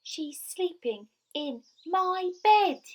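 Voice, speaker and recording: A woman voicing a squeaky little boy bear in a children's storybook, plaintive and wailing with grievance. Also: lively intonation, very slow, heavily acted. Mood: tearful, accusing. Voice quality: squeaky, high. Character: squeaky little male baby bear, tearful and aggrieved.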